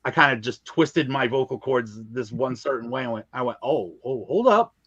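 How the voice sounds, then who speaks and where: Man, medium pitch, casual interview guest, emphatic, repetitive phrasing, slangy, dry room sound. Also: expressive, moderate pace, fairly bright tone, husky, dry recording, lo-fi sound. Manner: emphatic, repeats phrases, informal slangy wording. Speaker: guest, audio drama creator and narrator. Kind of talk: casual podcast interview chat.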